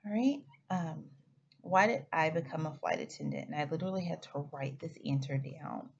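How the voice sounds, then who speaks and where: Woman, 30s, from Oklahoma. Low, gravelly voice. Chatty vlog delivery with self-corrections. Slightly dull recording. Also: moderate pace. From Oklahoma, United States. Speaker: flight attendant vlogger. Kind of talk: casual chat to camera. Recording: slightly muffled with faint background hiss.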